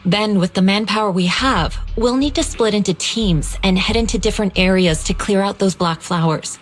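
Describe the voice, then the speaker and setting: A woman's voice: mid-range voice, normal speed, faint music behind, lightly acted. An actress playing a stern, protective martial arts master in a video game, formal and earnest, devoted to her pupil. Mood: measured.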